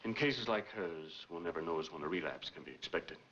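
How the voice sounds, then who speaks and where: Very deep-voiced solemn doctor. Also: male voice, animated, a little fast, a bit bright. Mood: somber. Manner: solemn, formal. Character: grave, sympathetic male doctor. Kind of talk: 1940s film acting. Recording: noisy, muffled 1940s film soundtrack.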